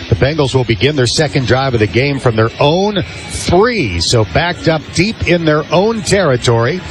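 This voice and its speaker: An American man calling live football play-by-play on the radio in clipped, descriptive phrases. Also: mid-range voice.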